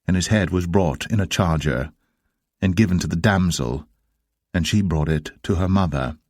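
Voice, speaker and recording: A man narrating dramatised scripture in a solemn, measured manner. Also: deep voice, a little mellow, no echo, clean studio sound.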